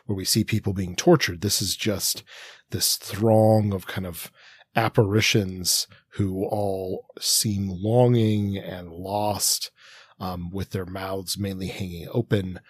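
A man co-hosting a podcast, discussing books casually in hesitant, halting phrases. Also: sits low, steady tone, slightly rough. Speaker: podcast co-host.

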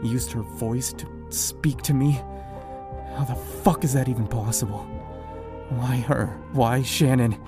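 A man's voice: medium pitch, fairly even intonation, measured pace, music in the background, close to an everyday voice. A man playing an anguished, foul-mouthed survivor of a zombie apocalypse in a horror audio drama. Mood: grim, stunned, then grieving, angry. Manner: grim, profane, anguished.

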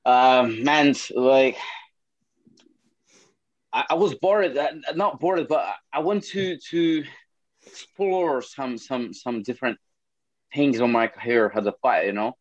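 A man's voice: mid-range voice, slow pace, pauses often, dry recording, highs cut off. A young man from Brazil with a Brazilian Portuguese accent, speaking English as a second language in a casual interview, often repeating words and swearing casually. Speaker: MMA fighter.

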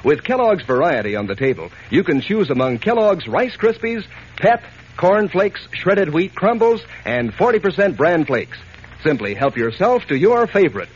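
A man's voice: very animated, moderate pace. An American man announcing in a folksy, enthusiastic 1940s radio advertising style aimed at children. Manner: folksy, enthusiastic sales pitch. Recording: dull old radio recording, faint noise.

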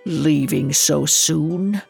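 A woman voicing a fierce, grieving male lion in a storybook tale, speaking in a slow, threatening, commanding way. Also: low voice, creaky, darkish tone, breathy edge, soft background music, heavily acted. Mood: reproachful.

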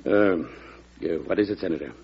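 An actor playing a vain, self-important cabinet minister in an old-time radio drama, with a rough voice and an aggrieved, theatrical manner. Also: male voice, deep voice, a little fast, heavily acted. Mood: uneasy. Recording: muffled vintage radio recording.

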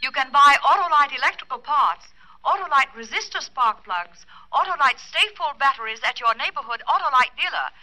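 Female vintage radio announcer, high piercing voice, animated scripted sales tag. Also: brisk, rough recording. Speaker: commercial announcer. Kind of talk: 1940s radio jingle-like ad tag.

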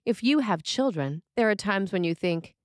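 A woman reading a scripted sermon as a preacher, with measured, deliberate phrasing. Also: mid-range voice, expressive, no room sound, clean studio sound.

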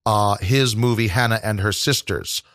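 Thoughtful low-voiced New man. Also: moderate pace, fairly bright tone, no echo, clean studio sound. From New York. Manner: thoughtful, rambling with many fillers. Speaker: interview guest, New York tour guide. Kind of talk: casual interview conversation.

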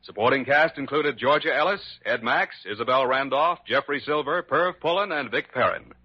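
A man's voice: medium pitch, moderate pace, breathy edge. A man announcing a scripted 1940s radio drama in a formal presenter's style. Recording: muffled old radio broadcast. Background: faint music.

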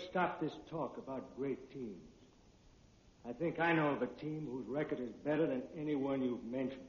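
A man's voice: medium pitch, a little mellow, lightly acted. A man acting a dead soldier and former college football player in a 1940s radio drama, solemn and insistent. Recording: echoey, muffled vintage radio recording.

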